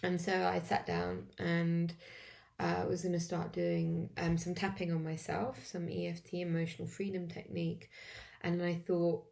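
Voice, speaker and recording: An English woman who is wheezy and coughing, talking in a halting way with many fillers as she walks through a self-help tapping routine alone at home. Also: on the low side, little pitch movement, vocal fry, darkish tone. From England. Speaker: vlogger demonstrating tapping. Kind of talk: casual self-help walkthrough.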